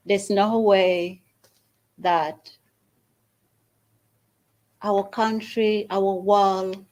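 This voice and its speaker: A woman from Uganda, the founder of a small charity, gives an earnest speech at an event and makes an appeal with long pauses. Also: medium pitch, darkish tone, low-quality recording. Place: event hall at a university.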